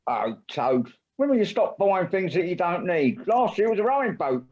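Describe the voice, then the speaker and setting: A woman plays a loyal, sensible water rat in an audio play, motherly and exasperated. Her pitch moves about a lot, and the video-call recording sounds dull. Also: very animated, normal speed, a little mellow. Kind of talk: amateur audio play acting.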